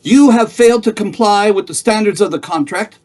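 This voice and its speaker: A man's voice: high-pitched, moderate pace, a little mellow, close to an everyday voice. A man playing a stern debt collector from a safe company in a stage musical table read, stiffly formal and insistent.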